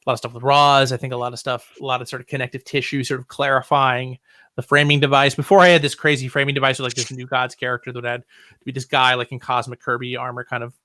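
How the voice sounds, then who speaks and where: Male writer guest for casual interview. Crisp bright tone, dry acoustic, wry joking delivery. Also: mid-range voice, flat delivery, fast talker, dry recording.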